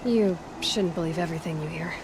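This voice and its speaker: An actress playing a capable, plainspoken young huntress in a video game, direct and understated. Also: female voice, fairly low pitch, a little fast, background noise, close to an everyday voice. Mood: dry.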